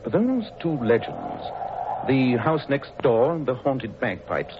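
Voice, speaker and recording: Brief: composed scholarly detective, lively lilting delivery, muffled hissy old radio. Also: male voice, medium pitch. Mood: lecturing. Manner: measured, lecturing, dryly amused. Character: calm, learned English detective. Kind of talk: 1940s radio acting. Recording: dull old radio recording with hiss.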